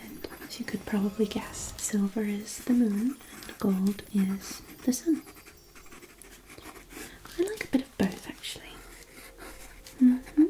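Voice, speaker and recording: A woman playing a kindly, chatty fairy craftswoman in a fantasy ASMR roleplay, softly whispering in a nurturing, slightly hesitant way. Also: mid-range voice, lively intonation, takes it slowly, pauses often, slight background noise, low-quality recording. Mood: chatty, gentle.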